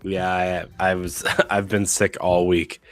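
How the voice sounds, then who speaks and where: A man hosting a casual podcast, slightly raspy from being sick, rambling with many fillers and restarts. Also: low-pitched, flat delivery, takes it slowly, soft background music. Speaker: podcast host. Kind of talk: casual chat.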